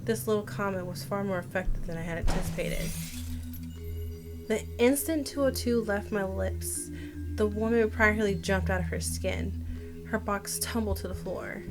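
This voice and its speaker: A woman's voice: medium pitch, moderate pace. Woman narrating a game over music. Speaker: game streamer. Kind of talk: reading visual novel text aloud, dramatized narration.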